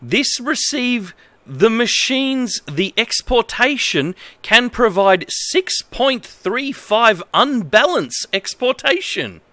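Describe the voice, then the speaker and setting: Animated, bright-voiced Australian man streaming. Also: very high-pitched, dry recording. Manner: halting, exaggerated read-aloud delivery with incredulous asides. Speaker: livestreamer. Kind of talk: reading aloud with amused livestream commentary. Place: indoor home streaming setup.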